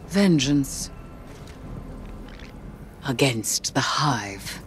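A brooding sorceress out of a dark fantasy game. Her low voice moves slowly and formally, weighing each word with solemn defiance. Music sits behind her. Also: lively intonation, full character voice. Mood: haughty, menacing. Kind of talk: theatrical video game dialogue.

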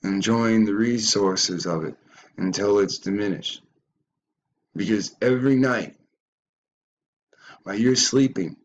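A man's voice: lowish voice, lo-fi sound. A man giving a ranting reaction commentary on a video, stumbling and repeating words as he goes.

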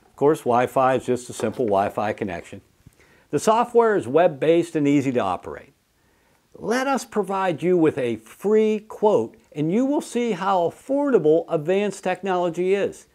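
A man's voice: on the high side, sing-song, normal speed, darkish tone. A man in his fifties giving a scripted product presentation to camera as a company presenter, recorded indoors in a studio. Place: indoor studio with plain backdrop.

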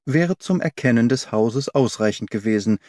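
A man's voice: mid-range voice, lively intonation, normal speed, darkish tone, dry recording, clean studio sound. A man reading scripted dramatic narration as an audiobook narrator. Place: dry studio.

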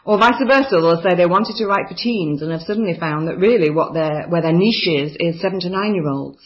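A woman from New Zealand tutoring a children's writing course, talking enthusiastically and chattily with frequent ums. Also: lowish voice. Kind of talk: course overview narration over slides. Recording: slightly dull lo-fi voice-over.

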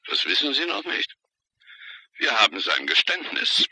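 An actor playing an apologetic police official bringing grim news in a radio crime drama, hesitant yet matter-of-fact, with a raspy, sharp voice. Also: male voice, on the low side, huge pitch swings, measured pace, heavily acted, rough recording. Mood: grave, matter-of-fact.

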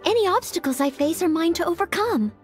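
A woman's voice: very high voice, animated, fairly quick, faint music behind. A woman voicing an earnest, anxious young maid who dreams of knighthood in a video game, speaking politely and hesitantly. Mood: earnest, determined. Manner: polite, hesitant, self-doubting.

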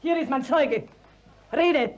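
An indignant mother pressing her complaint in a 1950s radio play. She is a high-pitched woman who declaims slowly, with sharp-tongued scorn. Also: highs cut off. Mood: scornful, insistent. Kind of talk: 1950s German radio drama acting.

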